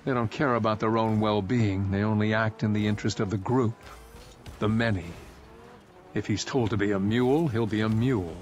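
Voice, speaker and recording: A man's voice: on the low side, steady tone, moderate pace, faint music behind, lightly acted. A man reading aloud voicing a young male soldier who is blunt and dismissive. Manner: dismissive, matter-of-fact.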